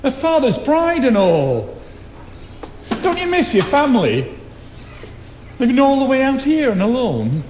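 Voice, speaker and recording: An actor playing a kindly, bumbling, loyal friend in a stage play, folksy and hesitant with a stammer. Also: male voice, very high-pitched, wildly swooping pitch, a little slow, long pauses, a little mellow, exaggerated voice. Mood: earnest. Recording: echoey theatre stage with background noise.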